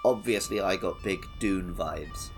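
A man's voice: sits low, measured pace, soft background music. A man co-hosting a podcast, chatting casually about a video game. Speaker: podcast co-host.

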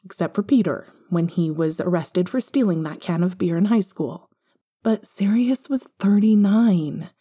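A woman's voice: fairly low pitch, measured pace, mellow, slightly rough. A woman reading a story aloud in a wry, conversational narrating style. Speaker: podfic reader. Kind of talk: expressive scripted fiction narration.

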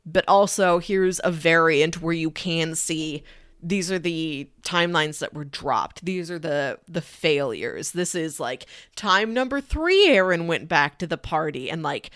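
Woman with lowish voice, casually discussing film. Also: animated, a little slow, fairly bright tone, no echo, studio quality. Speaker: film podcast co-host.

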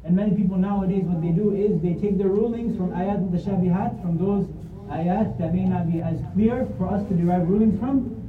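A man's voice: sits high, brisk, crowd noise. A man giving an earnest religious lesson, repeating his points for emphasis and reciting verses in Arabic. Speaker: Islamic lecturer. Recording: tinny, echoey, distant phone-like sound.

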